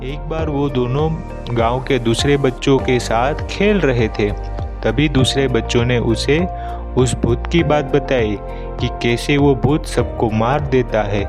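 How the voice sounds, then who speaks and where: Male narrator for animated children's tales, medium pitch, scripted telling over clear background music. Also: slow.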